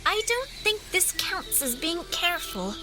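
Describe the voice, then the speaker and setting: Woman as a bright, high-voiced sassy little sister. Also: big pitch swings, unhurried, faint music behind, heavily acted. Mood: anxious. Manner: sassy, exclamatory. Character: feisty, skeptical young sister on an adventure. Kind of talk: audio drama acting.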